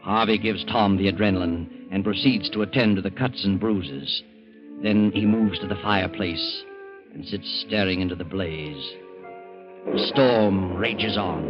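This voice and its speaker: An actor serving as a solemn, measured male narrator of an old-time radio drama. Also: deep voice. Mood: solemn, suspenseful. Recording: muffled old radio recording. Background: music.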